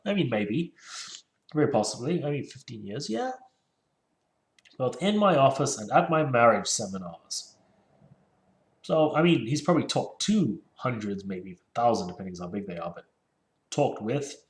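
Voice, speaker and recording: Male solo host, casual book critic, mid-pitched, sardonic and given to tangents. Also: animated, moderate pace, pauses often. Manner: sardonic, rambling asides. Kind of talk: casual solo commentary with read-aloud passages.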